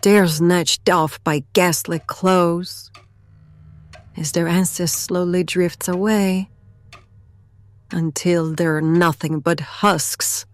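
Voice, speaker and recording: A woman's voice: fairly low pitch, no room sound. A woman playing a ghostly, deranged mother in a horror audio drama, crooning sweetly and menacingly with eerie whispers.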